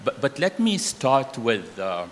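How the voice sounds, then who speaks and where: A man's voice: medium pitch, very animated, a little slow. A man from Egypt, a professor on a panel, answering in a frank, conversational way. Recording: echoey hall, faint background noise.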